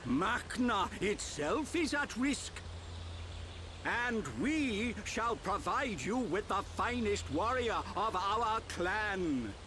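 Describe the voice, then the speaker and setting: A man's voice: sits high, expressive, slow, background noise. An actor playing a small, genial old creature who is chief of his village in a fantasy game, courtly and self-satisfied. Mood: courteous, persuasive. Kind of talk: fantasy video game acting.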